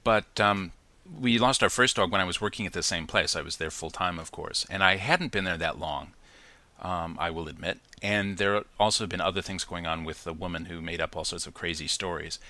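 A man's voice: low voice, brisk, bright, forceful tone, no room sound. A man hosting a casual solo podcast from New Zealand, chatty and reflective, with many ums and restarts. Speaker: podcast host.

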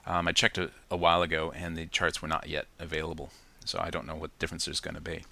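A man's voice: very deep voice, monotone, quick pace, vocal fry, sharp tone. A man hosting a casual solo podcast from New Zealand, chatty and reflective, with many ums and restarts. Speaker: podcast host.

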